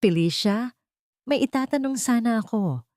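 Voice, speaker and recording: A woman's voice: fairly high pitch, animated, normal speed, darkish tone, no room sound, clean studio sound, pitched above the performer's own voice, natural voice. A woman narrator voicing an earnest, devoted billionaire man in love, speaking solemnly and tenderly in an audio romance. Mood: nervous, serious.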